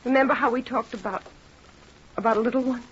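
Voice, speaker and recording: A woman's voice: on the high side, steady tone, fast, natural voice. An actress playing a loving, anxious fisherman's wife, tender and pleading, in an old radio drama. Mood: tender, happy. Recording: noisy muffled old radio recording.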